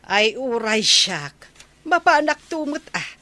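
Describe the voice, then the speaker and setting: An excitable mother in a Filipino radio comedy-drama. The voice is high and very bright, with a Filipino accent and a quick, fussy manner. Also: dry recording, heavily acted. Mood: eager.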